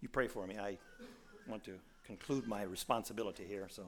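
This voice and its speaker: A man in his sixties with a raspy voice, preaching a sermon in a folksy storytelling way with many restarts. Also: lowish voice, a little fast, fairly bright tone, slight background noise.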